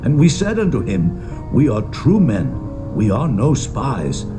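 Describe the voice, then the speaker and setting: An actor playing a humble, fearful Hebrew brother in a dramatised scripture reading, earnest and deferential. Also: male voice, mid-range voice, wildly swooping pitch, unhurried. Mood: anxious. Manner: earnest, deferential archaic speech. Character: humble, fearful Hebrew shepherd brother pleading before a lord. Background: music.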